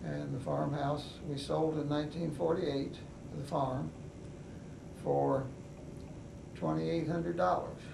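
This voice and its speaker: An older man with a West Virginian accent, telling his life story in an oral history interview in an unhurried, halting way with many pauses. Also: on the high side, little pitch movement, gravelly. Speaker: veteran interviewee. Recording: echoey room, mic at a distance, noisy.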